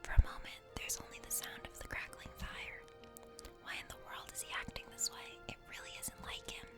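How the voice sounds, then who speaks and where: A woman's voice: brisk, loud music behind the voice. Whispering woman narrating ASMR story. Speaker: ASMR creator reading a game aloud.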